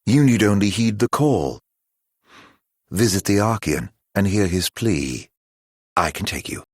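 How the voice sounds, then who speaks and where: An actor voicing a sly, mock-courteous villain in a video game, with a florid, teasing, theatrical drawl. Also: male voice, lowish voice, dry recording, clean studio sound, full character voice.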